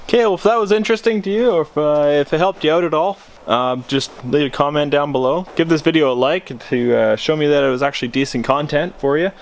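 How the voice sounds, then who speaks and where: A man's voice: on the high side, fast, few pauses, slight background noise. A man talking informally in a casual DIY vlog, thinking aloud as he works inside a vehicle. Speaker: vlogger. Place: vehicle cabin.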